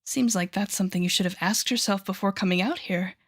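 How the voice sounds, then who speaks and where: A woman playing a haughty, sardonic telepathic villain with a hidden soft side, speaking straight to the listener in an audio roleplay. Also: mid-range voice, breathy edge. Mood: teasing, wistful. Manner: sardonic, theatrical, teasing, with sighs and deliberate pauses. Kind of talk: intimate audio roleplay acting addressed to the listener.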